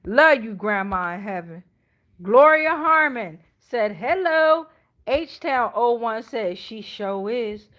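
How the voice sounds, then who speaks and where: A woman's voice: a little high, animated, takes it slowly, a bit bright. A middle-aged woman with an American accent doing a casual live tarot reading in a sassy, emphatic way, swearing freely. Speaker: tarot reader hosting a live stream.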